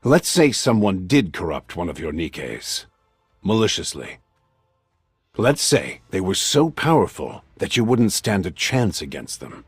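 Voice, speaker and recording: A menacing, smug game villain who toys with his underlings. The voice is deep and husky, theatrical and condescending, with lively swoops in pitch. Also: measured pace, dry recording, soft background music, exaggerated voice. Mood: taunting, menacing. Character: smug, menacing man of authority, toying with underlings. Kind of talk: English video game voice acting.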